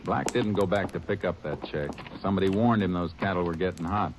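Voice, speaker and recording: A man's voice: low voice, a little fast, natural voice. An actor playing a terse, commanding Texas Ranger lawman in a 1950s radio western, on a noisy old recording. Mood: firm, pressing. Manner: terse, authoritative. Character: steady, commanding Texas Ranger lawman who narrates his case. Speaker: lead actor and narrator.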